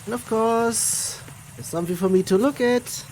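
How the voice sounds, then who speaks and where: A game streamer giving casual, halting commentary, muttering along while playing. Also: mid-range voice, a little mellow, slight background noise. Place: voice over game audio with music behind.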